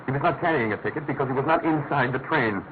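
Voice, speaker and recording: An actor playing a brilliant, self-assured detective in a classic radio drama, with a crisp manner of speech. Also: male voice, mid-range voice, quick pace, lightly acted. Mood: brisk, assured. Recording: noisy, tinny vintage radio recording.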